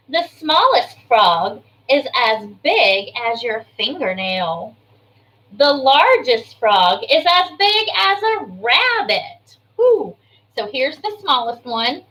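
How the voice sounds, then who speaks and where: A woman kindergarten teacher reading an information book aloud to young children, adding chatty asides, simple explanations and questions in an expressive way. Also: a little high, low-quality recording.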